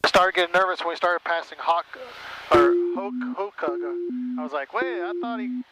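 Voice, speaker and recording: A man's voice: sits high, lively intonation, moderate pace, sharp tone, low-quality recording. A man chatting casually over a cockpit intercom.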